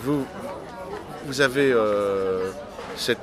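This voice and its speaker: A man's voice: medium pitch, very slow, music in the background. A man from France chatting casually outdoors, hesitant and curious. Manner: hesitant, many fillers and restarts, curious. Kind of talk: casual street conversation. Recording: clear crowd behind.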